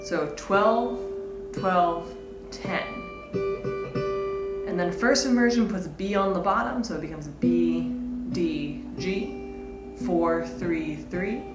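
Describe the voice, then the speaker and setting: Casting: female guitar instructor, slightly low pitch, informal think-aloud teaching style, echoey room recording. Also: takes it slowly. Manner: casual, explanatory, thinking aloud. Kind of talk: informal instructional talk with demonstrations. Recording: roomy echo, guitar played alongside. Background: electric or acoustic guitar playing.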